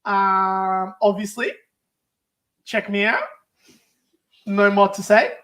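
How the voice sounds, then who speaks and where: A man's voice: sits high, fairly even intonation, slow pace, fairly bright tone. A young adult man from Nigeria, co-hosting a youth group chat in a playful, hesitant way with many restarts. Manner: hesitant, playful, self-deprecating, frequent restarts. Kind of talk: casual chat, playful game of two truths and a lie.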